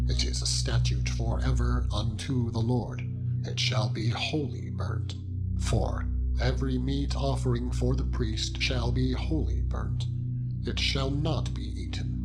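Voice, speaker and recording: Guy doing a solemn dramatic bible reading, medium pitch, phrase by phrase, loud music underneath. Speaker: scripture narrator. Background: loud instrumental music.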